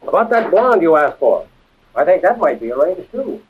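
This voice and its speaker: Polished, lively radio adventurer-host. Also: male voice, a little high, normal speed, a little hiss or hum. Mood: composed. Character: composed worldly adventurer and storyteller, host. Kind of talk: 1940s radio acting and narration. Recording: muffled vintage radio recording, music behind.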